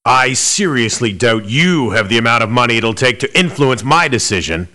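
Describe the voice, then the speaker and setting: A man's voice: mid-range voice, expressive, moderate pace, bright, forceful tone. An actor playing a cocky, demanding male elite mercenary in a video game, speaking bluntly with clipped indignation. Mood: firm. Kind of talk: video game character voice lines.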